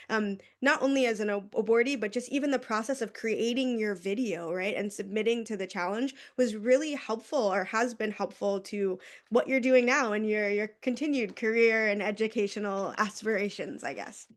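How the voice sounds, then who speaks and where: A woman moderates an online panel and hands questions to the speakers. She sounds upbeat and encouraging, with a chatty, friendly way of talking. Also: mid-range voice. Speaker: webinar moderator.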